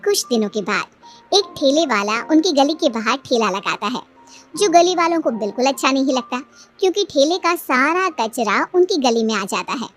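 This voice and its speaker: A woman narrating a scripted family story in an animated storytelling way. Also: high-pitched, normal speed, soft background music.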